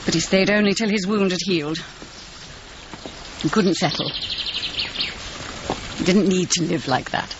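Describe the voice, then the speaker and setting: A muffled radio drama recording with clear noise behind it carries a dignified noble patroness. She speaks gravely and formally, in measured, deliberate phrases. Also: on the low side. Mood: regretful, formal. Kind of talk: naturalistic radio drama acting.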